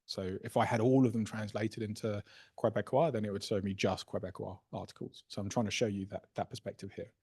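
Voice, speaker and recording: A man presenting a software workshop, casually explaining a live demo and often checking in with "right?" and "okay?". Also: on the low side, fairly even intonation, brisk, creaky, husky. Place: workshop room.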